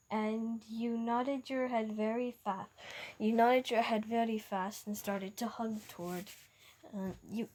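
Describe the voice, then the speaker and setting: A woman narrates a homemade second-person fan story. She talks unhurriedly, often catching herself and starting lines over. Her voice sits at a middle pitch. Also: darkish tone. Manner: halting, with stumbles and restarts. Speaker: storyteller and video maker. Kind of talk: reading an interactive fan story aloud.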